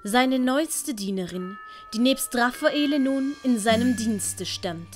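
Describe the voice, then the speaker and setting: A woman's voice: fairly high pitch, expressive, takes it slowly, faint music behind. A woman reading a scripted story as audiobook narrator.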